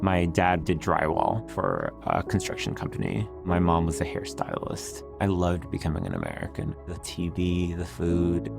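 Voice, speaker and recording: A man from El Salvador telling his personal story in a measured, reflective way. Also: sits low, very animated, vocal fry. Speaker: research interviewee. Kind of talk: personal testimony. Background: music.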